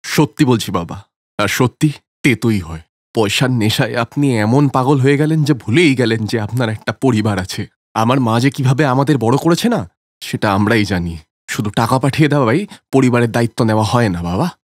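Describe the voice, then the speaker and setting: A man's voice: medium pitch, expressive, normal speed, no echo, clean studio sound, close to an everyday voice. A man voicing a bitter grown son who rebukes his father in a dramatic cartoon story. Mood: bitter, accusing.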